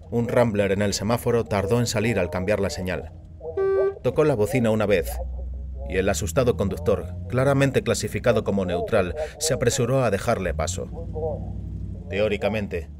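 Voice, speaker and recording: A man reading a short story aloud as a scripted audio fiction narrator. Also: lowish voice.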